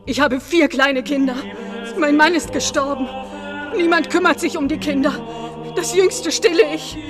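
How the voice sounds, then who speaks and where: A woman's voice: high voice, normal speed, music in the background, lightly acted. An actress playing a desperate young widowed mother, humbly pleading, in a radio drama. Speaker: actor.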